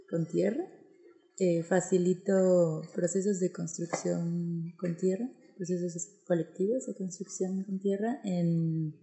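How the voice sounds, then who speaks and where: Female interview guest, central Mexican accent, roomy echo, lowish soft-edged dark voice, hesitant delivery. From State of Mexico, Mexico. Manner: hesitant, searching for words. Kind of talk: conversational interview answers. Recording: very echoey, reverberant room sound.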